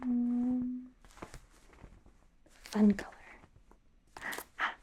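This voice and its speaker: Slow whispering woman as a flustered werewolf doctor. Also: a little high. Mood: gentle, focused. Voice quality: soft breathy whisper. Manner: hesitant, stammering, softly flustered. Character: bumbling female werewolf doctor in training, defensive, eager. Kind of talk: whispered ASMR medical exam roleplay. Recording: faint background noise, close-up whispering.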